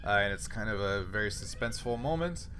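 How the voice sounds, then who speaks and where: A man's voice: fairly low pitch, animated, a little fast, pushed and bright, slight background noise. A man acting as game master, narrating a sci-fi tabletop game and making rulings in an informal, conversational way.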